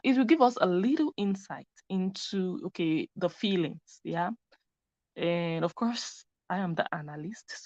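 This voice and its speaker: A woman's voice: lowish voice, darkish tone, no room sound. A woman hosting a live stream, commenting in a chatty way that speaks straight to her viewers. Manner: chatty, talks to viewers directly. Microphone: computer mic. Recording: dry, dull lo-fi sound.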